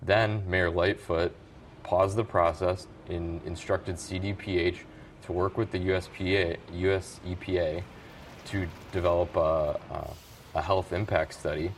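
Deep-voiced man explaining calmly. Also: a little hiss or hum. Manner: measured, explanatory, occasional restarts. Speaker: environmental group member. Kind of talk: news interview guest.

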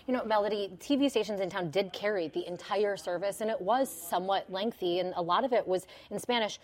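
A woman hosting a local news review program, reading headlines and then putting measured questions to a panel of journalists in a studio. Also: medium pitch. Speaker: news show host and panel moderator. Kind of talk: broadcast news moderation.

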